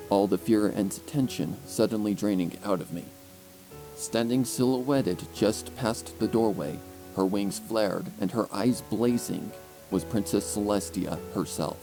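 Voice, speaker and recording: Male audiobook narrator, fairly low pitch, theatrical dramatic read with music underneath. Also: a little slow, warm tone, low-quality recording. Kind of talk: dramatic scripted story narration.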